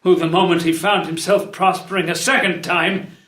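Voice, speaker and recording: A man's voice: high voice, flat delivery, moderate pace, fairly bright tone. An actor playing a humble, loyal old counselor to a king, theatrically, in a classical drama reading. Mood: breathless loyalty. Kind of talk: unrehearsed table read of classical verse drama. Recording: echoey room.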